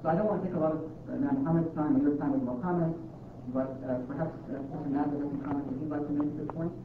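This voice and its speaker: A man moderating a public talk, giving a thoughtful academic comment with hesitant "uh" pauses. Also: on the high side, steady tone. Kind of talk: lecture-style commentary. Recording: echoey hall from far off, tinny and noisy.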